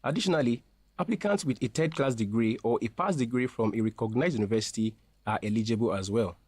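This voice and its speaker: A man speaking as a representative in a scripted promotional interview, explaining things in a formal, measured way. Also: mid-range voice, darkish tone, husky, no echo. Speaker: university representative being interviewed.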